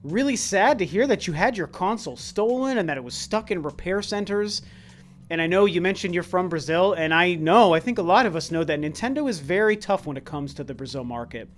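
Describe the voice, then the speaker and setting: High-voiced man with a tired, worn voice. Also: expressive, a little fast. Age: about 40. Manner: earnest, conversational, with frequent restarts. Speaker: YouTube channel host. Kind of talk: casual Q&A chat.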